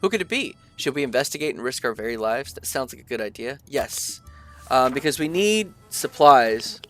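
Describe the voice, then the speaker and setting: A young man, stuffy from allergies, talks through a survival game in a relaxed, chatty way, pausing often to think out loud. His pitch sits right in the middle of the male range. Faint music plays behind him. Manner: relaxed, chatty, thinks aloud with many fillers. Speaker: gaming video creator. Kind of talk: casual let's-play commentary, reading game text aloud.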